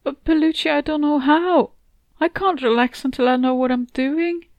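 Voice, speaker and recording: Woman acting a distraught, self-doubting British woman, high-pitched. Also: moderate pace, dry recording, highs cut off. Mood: anxious, pleading. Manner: anguished, self-berating, emphatic. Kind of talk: dramatic first-person story dialogue.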